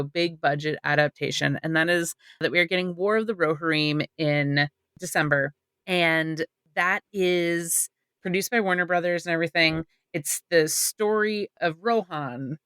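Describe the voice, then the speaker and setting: A woman's voice: deep voice, fairly bright tone, clear, resonant tone, no echo. A woman hosting a podcast, talking casually in a panel discussion. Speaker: podcast host.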